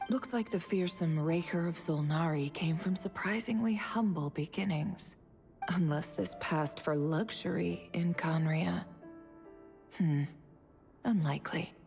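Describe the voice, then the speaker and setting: A calm female investigator thinks aloud in a fairly low voice. She sounds measured, observant and pensive, as in video game dialogue. Music plays softly behind her. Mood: curious, pensive. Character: calm, thoughtful female investigator musing to herself.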